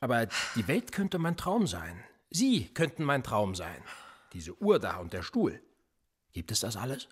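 An actor playing a police interrogator in a radio play, sardonic and menacing, needling with theatrical rhetorical questions. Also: male voice, mid-range voice, huge pitch swings, a little slow, husky, heavily acted. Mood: mocking, probing. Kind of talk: naturalistic German radio play acting.

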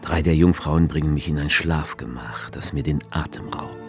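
A man's voice: very deep voice, moderate pace, darkish tone. A man in a radio play, speaking with dry irony as the author figure. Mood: wry. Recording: music bed under the voice.

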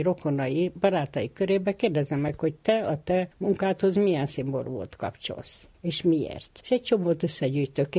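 An older woman psychotherapist with a husky voice, explaining things conversationally in an interview. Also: low voice, warm tone.

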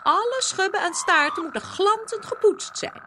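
A woman's voice: extremely high pitch, animated, normal speed, noisy background. A woman playing a haughty, bossy elf housekeeper in a fairy-tale radio play, giving orders in a fussy, grand manner.

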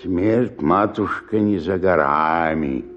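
Halting, drunken old stage actor. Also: male voice, low voice, dull audio, a crowd in the background. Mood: weary, resigned. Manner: groaning, muttering, halting, self-mocking. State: hungover. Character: drunken 68-year-old stage actor, weary, self-pitying. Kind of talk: theatrical radio drama monologue.

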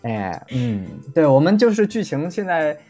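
A man in a casual group chat as a voice actor guest, speaking in hesitant, halting phrases. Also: fairly high pitch, big pitch swings, dark tone, faint music behind.

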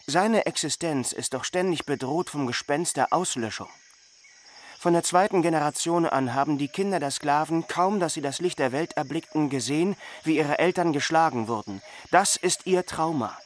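An actor playing a grave, impassioned chronicler, speaking in a solemn, emphatic stage declamation. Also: male voice, a little high, slight background noise, close to an everyday voice.

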